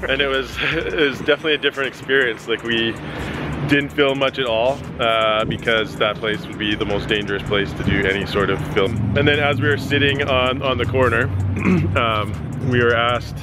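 Brief: young guy, relaxed storyteller, outdoor camera mic, background music. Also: mid-range voice, normal speed. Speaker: vlogger. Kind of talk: casual recap to camera. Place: city streets outdoors.

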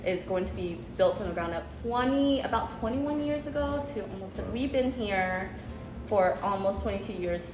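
A woman talking casually in an interview about her family's sushi restaurant, chatty and quick to laugh, often restarting her sentences. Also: mid-range voice, lively intonation, music in the background. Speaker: restaurant owner. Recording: echoey room with background noise.

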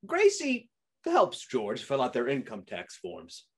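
A young man playing an upbeat 1940s radio announcer and pitchman with showy, drawn-out slogans. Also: huge pitch swings, fairly bright tone, breathy edge, full character voice. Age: young adult.

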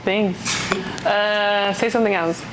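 A woman's voice: mid-range voice, fairly even intonation, slow pace, background noise. A young woman from Indiana, chatting bluntly and teasingly on a dating show. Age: young adult.

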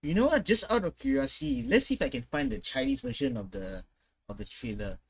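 A man's voice: a little high, huge pitch swings, brisk, vocal fry, slight background noise. A man in his twenties giving casual reaction commentary, often hesitant as he thinks aloud. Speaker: gaming reaction video host. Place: small room at home.

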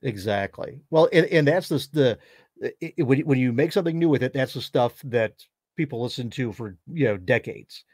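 A man's voice: medium pitch, lively intonation, moderate pace, a little mellow, no room sound, clean studio sound. A middle-aged man hosting an interview over a video call, mostly giving brief agreeing replies and short comments. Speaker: host. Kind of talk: casual interview.

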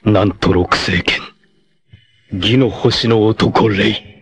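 An actor playing a stern male martial arts hero in a 1980s anime, speaking a grave, measured eulogy. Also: fairly low pitch, fairly even intonation.

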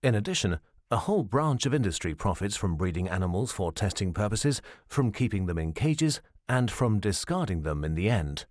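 A man reading scripted documentary narration in a measured, formal and serious way, as a studio voice-over. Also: on the low side, big pitch swings, a little mellow, no room sound.

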